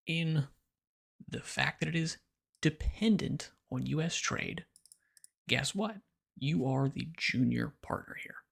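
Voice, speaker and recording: A man's voice: fairly high pitch, vocal fry, fairly bright tone, husky. An American man delivering a blunt, sarcastic opinion monologue as a political commentator. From United States. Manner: blunt and sarcastic, lecturing the listener.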